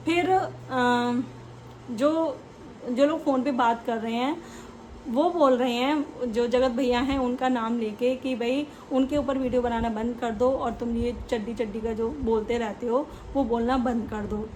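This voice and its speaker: A woman with a high-pitched voice gives casual opinion commentary as a reaction host. She explains things conversationally, slipping English words into her talk. A faint noise sits behind her voice. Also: fairly even intonation, unhurried, a little mellow, low-quality recording.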